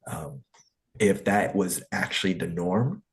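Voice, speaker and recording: Man hosting an online English meeting, a very low voice, easygoing, encouraging, full of ums. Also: slow. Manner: encouraging, rambling, many fillers. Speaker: English conversation meeting host. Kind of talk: casual feedback in an online meeting.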